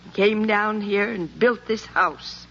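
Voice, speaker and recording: An actress playing a stubborn, troubled older farm mother with an American accent, halting and wistful, in the style of 1950s radio drama. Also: medium pitch, a bit bright. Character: stubborn, troubled old farm mother clinging to her house. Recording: muffled 1950s radio recording, music.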